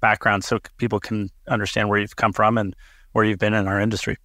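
A man hosting a business podcast in a chatty, enthusiastic way, often stumbling over and repeating his words. His voice is bright and forward. The sound is dry and close. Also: lowish voice, level tone, fast talker, no room sound. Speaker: podcast host. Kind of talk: casual interview hosting.

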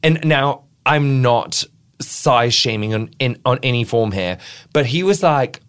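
A man's voice: expressive, measured pace, fairly bright tone, clear, resonant tone. Bubbly, rambling American man. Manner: bubbly, rambling, swings high in pitch. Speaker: podcast host. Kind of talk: casual podcast chat.

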